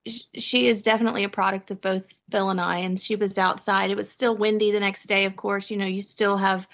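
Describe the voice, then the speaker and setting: A woman's voice: medium pitch, level tone, brisk, gravelly, fairly bright tone, dull audio. A middle-aged woman telling personal anecdotes casually as a guest, with a wry manner and laughter in her talk. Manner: wry, laughing as she talks. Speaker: podcast guest, prepper and mother.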